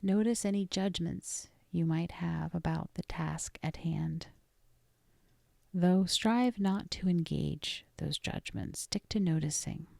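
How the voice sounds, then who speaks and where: A woman narrates a guided meditation in a soft, lowish voice with a good deal of fry. She is gentle and deliberate, leaving pauses and easing off almost into a whisper. Her tone stays dark and relaxed throughout. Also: no echo. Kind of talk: guided meditation, scripted and soothing.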